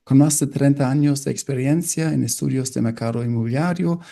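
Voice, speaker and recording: A man's voice: mid-range voice, fairly even intonation, fairly quick, gravelly, dark tone. A man hosting a podcast interview, hesitant and apologetic about his Spanish. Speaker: podcast host.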